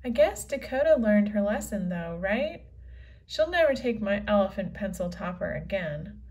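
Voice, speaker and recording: A woman's voice: mid-range voice, normal speed, voice pushed down, lightly acted. A woman voicing an imaginative, quirky nine-year-old girl in a children's comic read-aloud. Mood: musing. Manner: earnest, excitable kid delivery.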